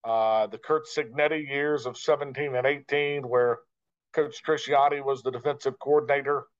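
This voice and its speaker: A man with a mid-range voice, heard through slightly dull, lo-fi audio. He is a broadcaster giving conversational interview answers. His delivery is measured and reflective, broken into short phrases with pauses. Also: medium pitch, steady tone. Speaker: guest, radio play-by-play broadcaster. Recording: dull, lo-fi sound.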